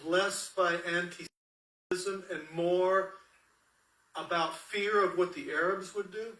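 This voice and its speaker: A man's voice: high voice, fairly even intonation, takes it slowly, sharp tone. A man asking questions as an interviewer, off mic. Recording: distant, echoey off-mic voice.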